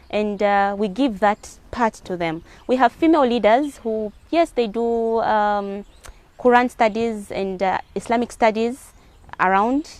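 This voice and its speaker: A woman's voice: medium pitch, a little mellow. Zambian woman speaking as an interview guest. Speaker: interview guest, hijab advocate. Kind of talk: earnest spoken interview answers.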